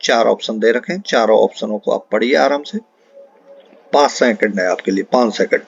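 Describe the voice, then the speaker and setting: A man's voice: fairly low pitch, moderate pace, vocal fry, slightly rough, no echo. Man teaching, dry slightly dull recording. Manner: instructive, repeats questions and answers for emphasis. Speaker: teacher for an exam-prep class. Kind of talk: explanatory quiz lesson, talking to students.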